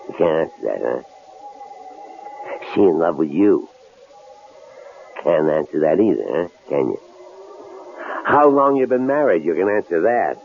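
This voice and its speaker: An actor playing a menacing, mocking killer in a radio drama, with a gravelly voice and a taunting, needling way of talking. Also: male voice, low voice, very animated, measured pace, pauses often, heavily acted. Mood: needling, bitter. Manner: taunting, folksy, needling questions. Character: menacing male killer intruder, mocking, coolly philosophical, tough-talking. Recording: tinny, noisy old radio recording.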